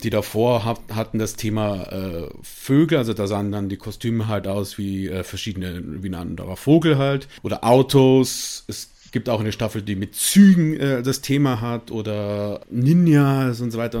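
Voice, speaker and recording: A man's voice: medium pitch, mellow. Rambling enthusiastic German man. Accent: regional German dialect. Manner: enthusiastic, rambling with fillers and restarts. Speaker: guest explaining a topic. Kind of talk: casual podcast chat.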